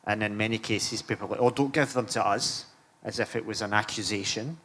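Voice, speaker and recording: A man's voice: fairly low pitch, fairly even intonation, brisk, fairly bright tone. A middle-aged man recalling his experiences in a conversational public talk. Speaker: panel speaker, film lecturer. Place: live event room at a museum. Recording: live room with faint background noise.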